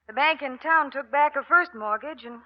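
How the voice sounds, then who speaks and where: A woman's voice: sits high, normal speed, natural voice. An American woman playing an earnest, worried young ranch woman in an old-time western radio drama. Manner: earnest, polite. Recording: muffled, noisy old radio recording.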